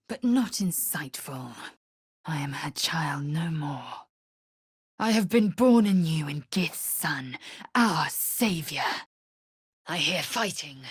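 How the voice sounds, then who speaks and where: A woman voicing a fierce, proud young githyanki warrior in a video game, blunt and disdainful, with clipped, formal, archaic phrasing and a husky, breathy voice. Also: low-pitched, a bit bright, no room sound, studio quality, exaggerated voice. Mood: grudging respect, then solemn resolve, then fierce, commanding. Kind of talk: video game character voice lines.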